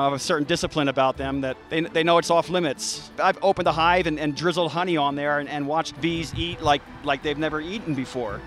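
Brief: thirties male beekeeper, slightly high voice, easygoing and halting, handheld mic outside, soft music underneath. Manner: relaxed, frequent restarts and fillers. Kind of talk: casual explanation to an interviewer. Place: outdoors by beehives in a garden. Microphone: handheld interview mic.

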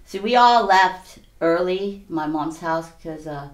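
Low-voiced older woman from hawaii, plainspoken and halting, echoey room. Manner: halting, plainspoken, many "you know"s. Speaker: interview guest. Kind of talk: candid life story interview. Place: small indoor studio, seated before a backdrop.

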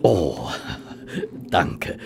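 An actor playing a kindly gentleman companion to a detective in a radio play, with some noise behind him. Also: extremely low pitch, sing-song, drawn-out words, gravelly, full character voice. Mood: contented.

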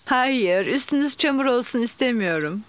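A woman voicing a calm, caring cartoon mummy pig, gentle and patient. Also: on the high side, moderate pace, no echo, lightly acted. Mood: firm. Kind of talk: Turkish cartoon dub. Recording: cartoon with clear music behind.

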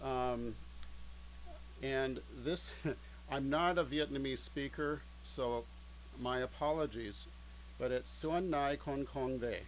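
A man's voice: medium pitch, poor audio. Hesitant older man over a humming video call. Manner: halting, hesitant delivery. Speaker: musician introducing a piece. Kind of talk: informal spoken introduction to a song. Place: indoors, cluttered home study. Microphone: video call, mic at a short distance. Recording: video call with background hum.

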